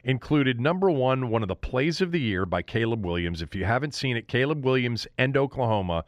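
A man's voice: medium pitch, expressive, brisk, dry recording. A man hosting a sports podcast, giving casual, opinionated recaps in an emphatic, conversational way. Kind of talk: casual sports recap commentary, talking solo to listeners.

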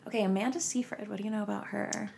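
A woman's voice: mid-range voice, steady tone, fast talker, vocal fry, a little mellow, a little hiss or hum. A young woman hosting a podcast, chatting casually and gossiping, with lots of "like" fillers. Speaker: podcast host.

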